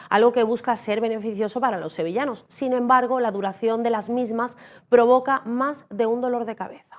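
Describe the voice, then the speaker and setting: A woman reading the local news as anchor in a measured, formal way. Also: on the high side. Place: TV studio.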